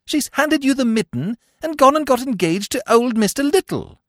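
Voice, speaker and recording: A man voicing an excitable, dithering young upper-class English gentleman in an audiobook, flustered and rambling in old-fashioned slang. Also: very high-pitched, moderate pace, no echo, studio quality, pitched above the performer's own voice. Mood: glum, agitated. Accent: British upper-class.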